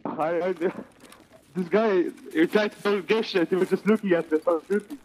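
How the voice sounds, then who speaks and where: Higher-pitched young man on game voice chat. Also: normal speed, a little hiss or hum, rough recording. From Sweden. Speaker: player in an online game. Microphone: gaming headset.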